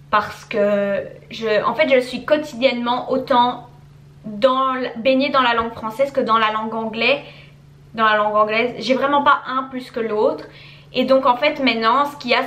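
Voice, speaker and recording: A woman's voice: on the high side, fairly bright tone. A young woman from Belgium chatting casually to camera as a YouTuber, answering viewer questions and repeating words for emphasis. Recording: echoey room.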